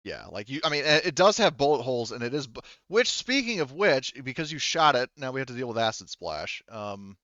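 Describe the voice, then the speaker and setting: A man's voice: mid-range voice, big pitch swings, fairly quick, few pauses, pushed and bright, no room sound, muffled recording. A man speaking casually as game master of a tabletop roleplaying game, explaining rules and outcomes in informal, sweary talk.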